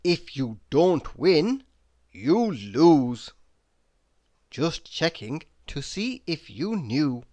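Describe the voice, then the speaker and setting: A reader delivers tongue-in-cheek motivational quotes at an extremely slow pace. The delivery is dramatic and deliberate, with lively swings in pitch and clear pauses between phrases. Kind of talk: reading humorous motivational quotes aloud.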